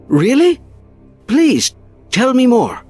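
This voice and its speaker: A man's voice: extremely high pitch, wildly swooping pitch, slow, a little mellow, faint music behind, full character voice. A man playing a courteous, condescending nineteenth-century naval captain, formal and theatrical, in an audio drama. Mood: incredulous.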